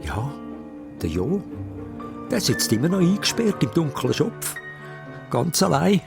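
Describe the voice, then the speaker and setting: A man's voice: fairly high pitch, big pitch swings, unhurried, creaky. A man with a Swiss German accent narrating a children's story dramatically, in short, suspenseful phrases. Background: music.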